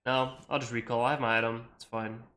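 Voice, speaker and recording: Young adult man, American accent, bright voice, casual rambling gameplay commentary. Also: medium pitch, flat delivery, fast talker. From Iceland. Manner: rambling, full of likes and you-knows. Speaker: gamer and video creator.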